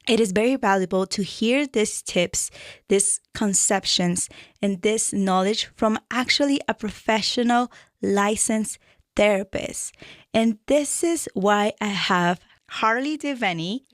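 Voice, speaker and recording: A woman from Peru with a Peruvian Spanish accent, a therapist hosting a radio show and reading a prepared introduction in a measured, earnest way. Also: mid-range voice, no room sound, clean studio sound. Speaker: radio show host and mental health therapist.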